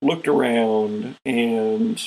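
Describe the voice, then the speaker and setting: Guy running a tabletop rpg, raspy fry in his voice, talks slow and dramatic, host. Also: mid-range voice, darkish tone, poor audio. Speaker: host and game master. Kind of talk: narrating a tabletop role-playing game.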